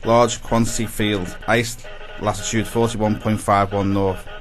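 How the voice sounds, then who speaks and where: A man's voice: lowish voice, level tone, a little slow, natural voice. A man playing a ship's wireless operator in a radio play, reading reports in a clipped, formal way. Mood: formal, businesslike.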